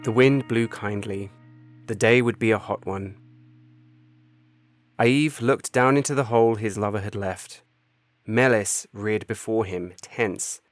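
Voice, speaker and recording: Low-pitched man narrating over soft music. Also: measured pace, pauses often, no echo. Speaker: author reading own short story. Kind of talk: scripted literary narration. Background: music bed.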